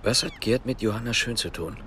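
An actor playing a wary East German police investigator in a naturalistic radio drama, putting short, probing questions. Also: male voice, fairly low pitch, fairly quick, bright, forceful tone, slight background noise. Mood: probing, puzzled.

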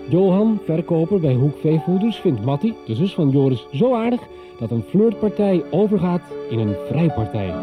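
Radio serial narrator, man: scripted recap, very varied intonation, muffled lo-fi recording, music bed. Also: fairly high pitch.

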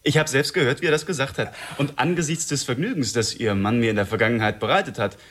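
A man acts a gleefully menacing killer for radio drama. He taunts with sardonic relish at an ordinary male pitch, over faint noise. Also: fast talker, fairly bright tone, natural voice. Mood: mocking menace.

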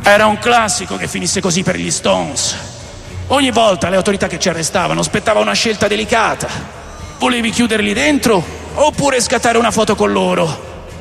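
Gravelly male radio drama narrator, long reverb. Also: high voice, a bit bright. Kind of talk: first-person dramatic scripted narration. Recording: long reverb, music underneath.

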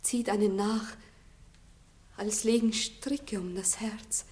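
An actress playing a pious, innocent young bride-to-be with fervent rapture in a 1960s radio drama. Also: female voice, mid-range voice, steady tone, takes it slowly, lightly acted. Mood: hushed rapture. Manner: fervent, solemn, exclamatory to hushed. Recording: 1960s radio recording, faint hiss.

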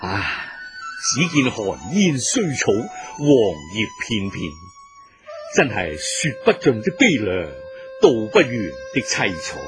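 A male Cantonese narrator tells the story slowly, with a hugely varied, animated pitch. The style is solemn and literary, with music clearly behind.

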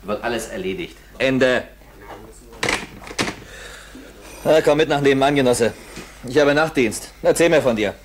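A man's voice: mid-range voice, animated, moderate pace, lightly acted. A man acting a busy, patronising but friendly Soviet staff officer, clipped and brusque, in a 1960s German radio drama. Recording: noisy old 1960s radio tape.

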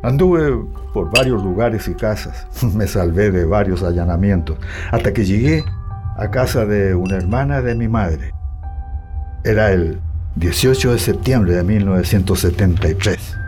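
Low-voiced Chilean survivor telling his story. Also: animated, measured pace, a little mellow, soft background music. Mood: reflective. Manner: reflective storytelling. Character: male survivor recounting his persecution, reflective, grateful. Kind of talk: radio drama narration.

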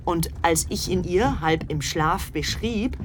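Low-voiced young society woman, cool, confiding, measured, radio drama, noisy ambience behind. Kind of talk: radio play dialogue.

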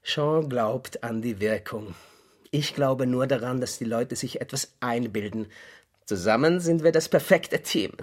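Guy acting a doubtful client in a radio play, middle pitch, unsure and sober. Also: measured pace, natural voice. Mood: hesitant, skeptical. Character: hesitant, skeptical male client of a detective.

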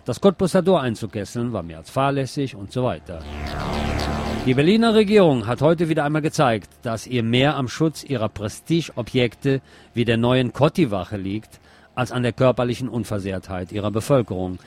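A man's voice: fairly low pitch, animated, normal speed, a little mellow. A man presenting a radio news segment, reading reports and press statements from a script.

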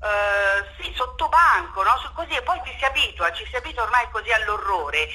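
A woman's voice: a little high, animated, a little fast, pushed and bright, rough recording. A woman speaking spontaneously and emphatically as an interview guest, repeating words and tagging phrases with "no?". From Marche, Italy. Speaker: theatre director, interview guest.

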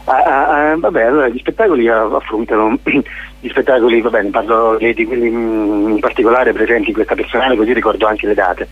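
A man's voice: mid-range voice, steady tone, brisk, breathy edge. A man speaking as a theatre artist in a radio phone interview, hesitant, with many fillers and restarts. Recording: tinny phone line.

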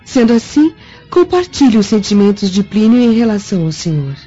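An actress playing a gentle, loyal noblewoman who speaks with formal, polite dignity in a radio drama. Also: mid-range voice, sing-song, brisk, breathy edge, soft background music. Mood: polite, trusting. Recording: dull lo-fi radio drama recording.

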